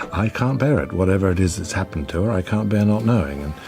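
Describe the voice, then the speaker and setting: An actor playing an earnest, anguished man in a radio drama, speaking in a halting, intimate way. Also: deep voice, lively intonation, creaky, music in the background. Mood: anguished, confiding.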